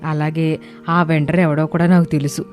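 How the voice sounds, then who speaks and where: A woman's voice: deep voice, little pitch movement, normal speed, a little mellow, soft background music, close to an everyday voice. A woman voicing a loyal office assistant in a moral story, earnest, respectful and reassuring. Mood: earnest reassurance. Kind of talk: acted moral-story dialogue.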